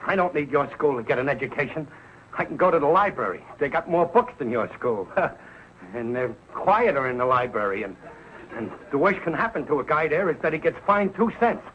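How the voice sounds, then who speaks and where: A man's voice: a little high, sing-song, a little fast. An actor playing a blustering, good-hearted working-class family man with a slangy American accent in a vintage sitcom. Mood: indignant, pleading. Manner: slangy, plain-spoken. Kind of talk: 1940s television sitcom acting. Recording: muffled, hissy old 1940s broadcast.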